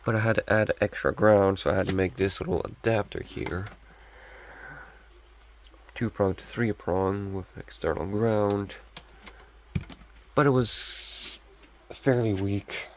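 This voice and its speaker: Low, scratchy-voiced young man chatting. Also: fairly even intonation, slow pace, long pauses. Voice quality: scratchy, gravelly. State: recovering from illness, scratchy voice. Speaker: hobbyist collector showing restored radio. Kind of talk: casual show-and-tell chat.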